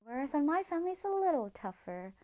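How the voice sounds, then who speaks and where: A woman's voice: high voice, big pitch swings, fast talker, mellow. A young woman from Malaysia, a student, speaking earnestly about her relationship in an interview and dropping to a whisper for asides. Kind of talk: personal testimony in a documentary interview.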